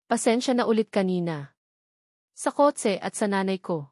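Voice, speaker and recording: A woman's voice: mid-range voice, expressive, normal speed, a little mellow, dry recording, clean studio sound, natural voice. A woman storyteller voicing an earnest, apologetic rich young man in a romance story, pleading and sincere. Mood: sincere apology.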